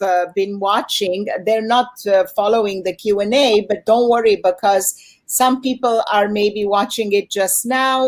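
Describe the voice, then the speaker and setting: A woman speaking conversationally as she hosts and moderates a festival question-and-answer session. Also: mid-range voice. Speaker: festival host moderating an online Q&A. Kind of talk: conversational event hosting.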